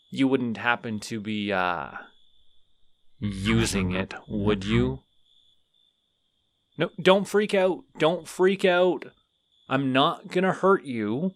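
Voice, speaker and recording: A man's voice: mid-range voice, no echo. A man voicing a friendly, lonely male skeleton in an audio roleplay, rambling and apologizing a lot to the listener. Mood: wheedling. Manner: rambling, sheepish, over-apologetic.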